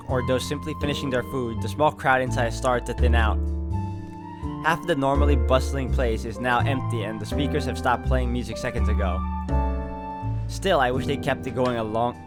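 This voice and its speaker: A man reading first-person visual novel narration aloud as he plays through the game. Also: mid-range voice.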